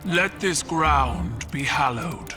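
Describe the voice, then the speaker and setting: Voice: mid-range voice, animated, slow pace, music in the background. An actor voicing a proud, stern alien warrior empress in a game cutscene, declaiming with ceremonial command. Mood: solemn, ceremonial. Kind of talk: dramatic game cutscene oratory.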